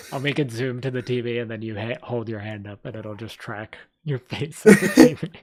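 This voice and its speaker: A man chatting casually as host about his audio stories, talking informally in an animated, slangy way. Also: medium pitch, moderate pace. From Italian background. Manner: informal, animated talk peppered with "like", "dude" and "bro". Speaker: host, audio drama creator. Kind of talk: casual chat.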